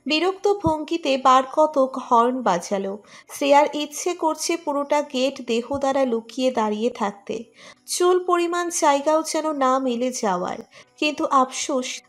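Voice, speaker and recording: A woman reading a scripted romantic story as narrator. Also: sits high.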